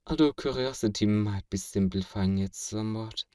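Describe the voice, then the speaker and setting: A man playing a feared fantasy villain in a flirtatious audio roleplay, measured, amused and condescendingly courteous, in an intimate ASMR acting style. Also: on the low side, a little mellow, studio quality, close to an everyday voice. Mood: amused, obsessive. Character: feared male fantasy villain, suave, teasing, flirtatiously obsessive. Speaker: voice actor performing a roleplay. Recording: roomy echo, distant from the mic.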